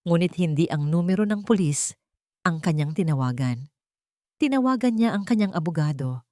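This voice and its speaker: Female storyteller, Filipino accent, dramatic audio drama narration, lowish pitch, dry close sound. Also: animated, moderate pace, dark tone, no echo.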